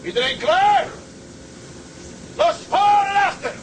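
A determined, gruff captain in a period radio play. He speaks curtly and forcefully, his pitch moving in bold swings. There is some background noise behind him. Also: animated, takes it slowly, heavily acted, rough recording. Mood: shouting orders. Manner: curt, commanding, forceful. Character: gruff, proud, determined male sea captain. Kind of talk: Dutch period radio drama acting.

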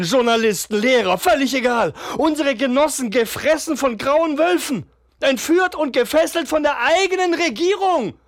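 An actor playing a defiant young leftist activist on trial in a radio drama, sardonic and impassioned. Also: male voice, extremely high pitch, creaky, no echo, clean studio sound, exaggerated voice. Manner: impassioned, sardonic, swings from muttering to declaiming. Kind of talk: radio drama monologue and retorts.

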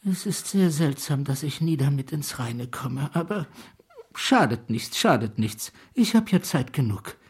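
Husky, slow, eccentric old gentleman. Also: fairly high pitch, lively intonation, full character voice. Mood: puzzled, earnest. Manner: halting, wandering, confiding. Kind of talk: radio play acting.

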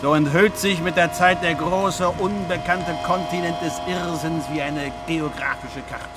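A man in a radio drama playing a weary, gruff, matter-of-fact London police superintendent. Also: fairly high pitch, fairly even intonation, measured pace, natural voice. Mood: gruff, unimpressed. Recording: noisy urban street ambience.

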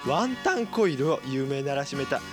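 A man's voice: mid-range voice, sing-song, normal speed. A man reading a scripted mock promotional announcement in an amateur radio production. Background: plucked guitar music.

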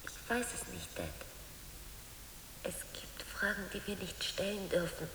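A woman playing an exhausted, resigned daughter in a radio drama, speaking in short, subdued, breathy answers. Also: medium pitch, huge pitch swings, a little slow, a bit bright. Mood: exhausted, dutiful. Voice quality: breathy, whispery. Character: exhausted, resigned grown daughter, calm and dutiful. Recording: echoey reverberant hall.

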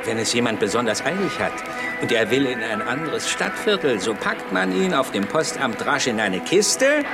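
A man's voice: medium pitch, very animated, normal speed. An actor playing a chatty man who tells tall tales in a colourful storytelling manner. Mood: boastful, amused. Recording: loud music over the voice. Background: music.

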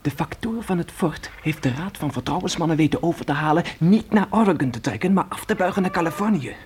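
A man's voice: on the high side, animated, quick pace, a little mellow, a little hiss or hum, close to an everyday voice. A man playing a determined, commanding adolescent pioneer boy in a radio play, speaking with earnest urgency. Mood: grave, urgent. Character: determined adolescent pioneer boy leading his siblings westward.

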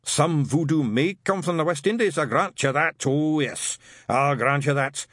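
A man voicing a gruff, paranoid old witchfinder sergeant, barking and conspiratorial. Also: on the high side, normal speed, fairly bright tone, no room sound, clean studio sound, voice pushed up. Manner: barking, conspiratorial, bigoted grumbling. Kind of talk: audiobook character voice.

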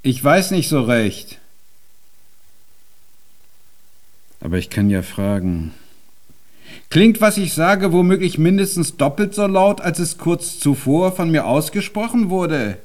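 An actor playing a deaf, cantankerous old composer in a German radio drama, speaking in an ornate, pompous and convoluted way. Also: male voice, fairly high pitch, expressive, measured pace, darkish tone. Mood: insistent questioning.

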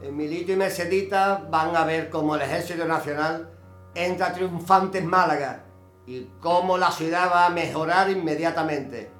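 A man's voice: on the high side, normal speed, over music, close to an everyday voice. A man playing a stubborn, domineering husband in an audio drama, insistent and curt, with an accent from Spain. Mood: exasperated. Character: stubborn, domineering husband and father in wartime Málaga.

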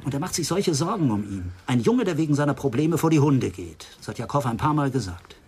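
Ein Schauspieler spielt in einem deutschen Krimihörspiel einen besorgten, mitteilsamen Klinikarzt, der ernst und erklärend berichtet. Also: male voice, mid-range voice, very animated, brisk, a little mellow, a little hiss or hum. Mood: concerned. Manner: explanatory, earnest. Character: concerned, talkative male hospital doctor reporting a case. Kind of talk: German radio crime drama acting.

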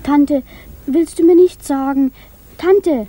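An actress playing an affectionate, pleading teenage niece in a 1960s radio play, tender and coaxing. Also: female voice, sits high, slow, dark tone.

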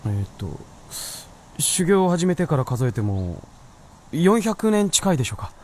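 Earnest, very expressive young-sounding male fox. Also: fairly high pitch, moderate pace, a little hiss or hum. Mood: earnest, hesitant. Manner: polite, hesitant, easily flustered. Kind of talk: Japanese radio drama acting.

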